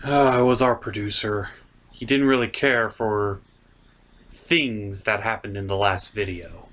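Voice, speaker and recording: A man voicing a flustered, exasperated puppet host of a cartoon review show, halting and apologetic in a scripted comedy skit. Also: fairly low pitch, fairly even intonation, a bit bright. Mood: weary, sarcastic.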